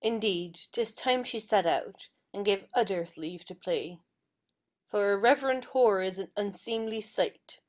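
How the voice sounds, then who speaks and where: Woman as mock-moralizing wit, period comedy, mid-pitched, muffled audio. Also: a little slow, close to an everyday voice. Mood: sardonic. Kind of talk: amateur audio play of Restoration comedy. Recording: dull, lo-fi audio.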